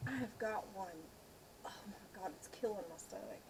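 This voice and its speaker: A woman's voice: mid-range voice, moderate pace, noisy background. A middle-aged woman chatting casually with a dry, joking manner. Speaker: video host. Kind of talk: casual chat.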